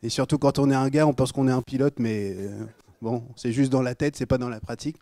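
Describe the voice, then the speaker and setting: A man's voice: mid-range voice, steady tone, fairly quick, warm tone, dry recording. A middle-aged man from Normandy with a northern French accent, speaking as a guest pastor in an informal, joking, conversational way to a congregation. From Normandy, France. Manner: jokey, conversational, addressing listeners directly.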